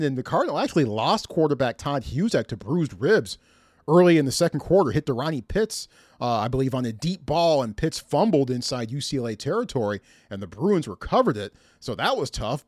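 A middle-aged man gives conversational sports commentary in a fairly high voice. His delivery is animated and emphatic, rising and dropping as he tells the story, and the sound is dry and close. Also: no room sound. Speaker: sports podcast host.

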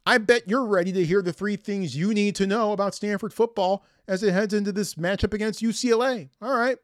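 A middle-aged man hosts a sports podcast in a somewhat high voice. His delivery is upbeat and punchy, with an announcer's emphasis on key words. The sound is very dry, with little room around the voice. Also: a little fast, dry recording. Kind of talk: scripted sports podcast presenting.

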